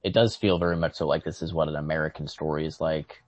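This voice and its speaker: A man chatting casually as a podcast co-host, thinking aloud in a halting, tentative way. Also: a bass voice, fairly even intonation. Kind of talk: casual literary chat.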